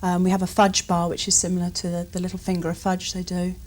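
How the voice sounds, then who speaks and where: A woman's voice: fairly low pitch, completely flat delivery, quick pace, darkish tone. A British woman answering questions in a conversational interview as a retail guest. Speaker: interview guest, shop supervisor.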